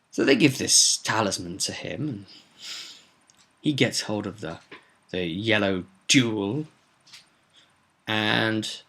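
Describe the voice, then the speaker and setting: A man's voice: fairly low pitch, gravelly, bright, forceful tone. A man giving an informal spoken recap as game master of a tabletop roleplay, explaining events with emphasis like a storyteller.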